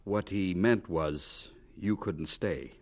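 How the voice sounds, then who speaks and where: A man's voice: sits low, takes it slowly, a little mellow. A man working as announcer and narrator, delivering scripted radio drama narration. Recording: music under the voice. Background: music.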